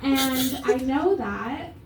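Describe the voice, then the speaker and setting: A young adult woman from Canada performing a stand-up set on a comedy club stage, deadpan and self-mocking with many fillers. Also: sits high, very slow, noisy background. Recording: roomy live club sound from a distance.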